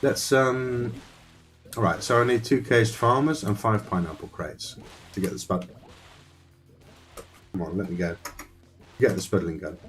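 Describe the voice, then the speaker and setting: Low-voiced man streaming a game. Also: steady tone, a little slow, pauses often, faint music behind. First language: Dutch. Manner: rambling, thinks aloud, talks to chat. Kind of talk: casual live-stream commentary while playing.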